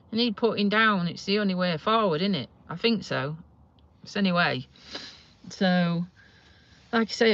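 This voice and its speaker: A woman's voice: mid-range voice, a bit bright, dull audio. Chatty woman with a slight Yorkshire accent. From Lincolnshire, England. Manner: chatty, rambling, self-deprecating. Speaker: vlogger doing a live stream. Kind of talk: casual chat to viewers. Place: parked car.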